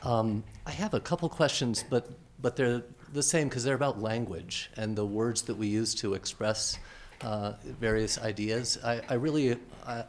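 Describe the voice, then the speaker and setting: A man asking a panel a question from the floor, thoughtful and hesitant, with frequent fillers. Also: lowish voice. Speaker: audience member asking a question. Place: conference hall.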